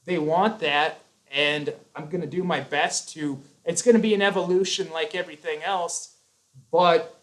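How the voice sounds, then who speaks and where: A man's voice: sits high, low-quality recording. A man hosting a live chat, talking earnestly and at length, with frequent restarts. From United States. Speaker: YouTube host.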